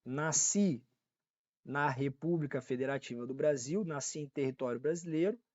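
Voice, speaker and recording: A man's voice: on the high side, normal speed, darkish tone, no room sound, highs cut off. A man with a Brazilian Portuguese accent giving a casual online law lecture, repeating key points, checking in with his listeners and correcting his own slips. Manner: casual, repetitive teaching with frequent check-ins and self-corrections. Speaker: law teacher. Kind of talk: online exam-prep lecture.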